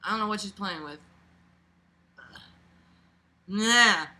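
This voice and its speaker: A woman with a very bright, sharp-edged voice at a middling pitch reads aloud in a casual, expressive way. She drops in sarcastic, informal asides and swears freely as she mocks the text. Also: fairly quick. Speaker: host reading a book aloud with commentary. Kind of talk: casual mocking read-aloud with off-the-cuff asides.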